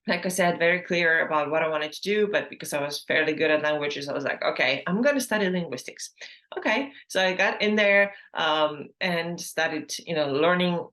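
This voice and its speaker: A woman's voice: deep voice, moderate pace, fairly bright tone. A woman in her thirties, a native Estonian speaker, hosting a casual solo podcast in English, chatty and full of fillers and self-corrections. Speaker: podcast host.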